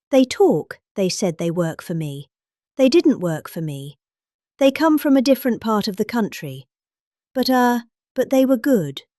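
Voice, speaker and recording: A middle-aged British woman giving a calm, expressive dramatic reading of a rambling speech. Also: on the high side, dry recording, studio quality. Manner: expressive, calmly measured delivery.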